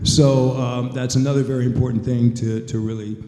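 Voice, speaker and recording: A man's voice: mid-range voice, little pitch movement, fairly quick, warm tone. An older man, a veteran voice actor, giving conversational, plain-spoken advice with a wry, folksy manner on a panel. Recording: echoey hall, long reverb.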